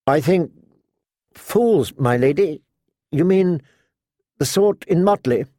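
A man's voice: fairly high pitch, animated, slow pace, mellow, dry recording, voice pushed up. A man voicing a timid, polite young noble girl in an audiobook, speaking hesitantly and courteously. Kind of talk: audiobook character acting.

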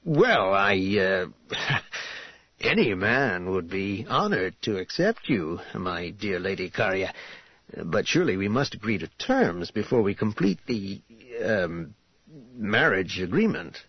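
A man's voice: fairly low pitch, big pitch swings, slow, husky, no room sound, highs cut off, exaggerated voice. A man voicing a male schemer and suitor for an audiobook, ingratiating and eager but hesitant and uneasy. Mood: taken aback. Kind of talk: audiobook character voice.

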